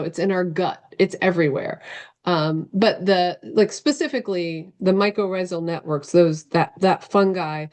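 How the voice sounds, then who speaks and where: A woman's voice: on the low side, a little mellow. A woman speaking as a guest on a video call, explaining things in a casual, conversational style. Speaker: guest, novelist and professor.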